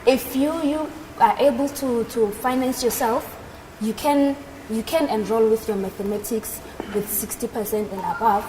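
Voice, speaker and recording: A woman's voice: fairly high pitch, moderate pace, dark tone, low-quality recording. A woman in her twenties with a South African accent, explaining things as an interview guest. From South Africa, Northern Cape. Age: 20s. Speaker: interview guest, aircraft maintenance engineer. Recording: noticeable background noise at live event.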